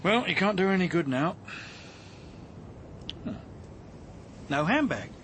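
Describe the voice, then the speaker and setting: An actor playing a gruff, sardonic male police inspector in a radio drama, speaking in a blunt, wry, clipped way. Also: high voice, very animated, takes it slowly, a bit bright, a little hiss or hum, full character voice. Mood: matter-of-fact. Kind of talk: acted British radio drama dialogue.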